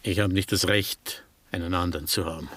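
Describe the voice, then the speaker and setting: An actor playing a sardonic, disillusioned doctor in a German radio drama, speaking in a dry, articulate, ironic way. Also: male voice, sits low, steady tone, a little fast, fairly bright tone, slightly rough. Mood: bitter irony.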